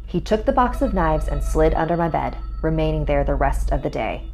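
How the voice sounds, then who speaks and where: A woman's voice: low-pitched, expressive, brisk, a little mellow, faint music behind, rough recording. A woman narrator reading a scripted horror story in the first person.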